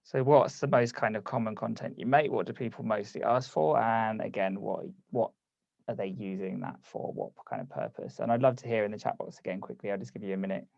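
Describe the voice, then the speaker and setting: Gravelly guy running a zoom workshop, losing his voice, dark tone, kinda dull dry sound. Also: on the low side, a little fast, dry recording. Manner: casual, conversational, reads chat aloud. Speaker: workshop host. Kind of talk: live online workshop, coaching. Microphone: video call.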